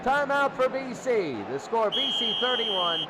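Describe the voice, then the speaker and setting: A man's voice: extremely high pitch, lively intonation, measured pace. A man giving live play-by-play football commentary in short, clipped calls with repeated phrases. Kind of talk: live radio sports commentary. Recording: very noisy game ambience.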